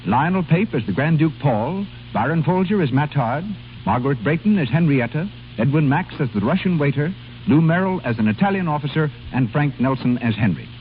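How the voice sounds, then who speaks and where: Lively American announcer on tinny old radio. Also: male voice, fairly high pitch, a little fast. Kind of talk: scripted 1930s radio announcing. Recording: tinny 1930s radio recording, faint hum.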